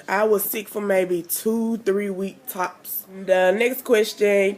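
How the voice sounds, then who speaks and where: A woman, chatting casually to camera in a rambling way, nasal and stuffed up from a cold. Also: mid-range voice. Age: 20s. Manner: rambling, chatty, lots of fillers. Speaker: vlogger.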